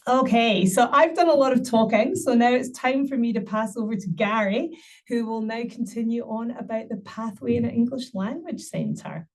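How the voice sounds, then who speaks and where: A woman's voice: fairly high pitch, moderate pace, far from the mic. A middle-aged woman giving an upbeat university webinar presentation, promoting her city with frequent ums.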